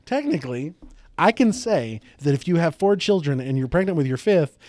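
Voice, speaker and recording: A man's voice: a little high, very animated, normal speed, a little mellow, no room sound. A man co-hosting a podcast, joking and playfully arguing with his co-host in casual banter. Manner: joking, teasing, playfully argumentative.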